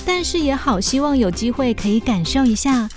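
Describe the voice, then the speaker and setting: A woman with a fairly high voice narrates a children's audio story. Her delivery is scripted and expressive, made for storytelling, with music playing behind her. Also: a little fast.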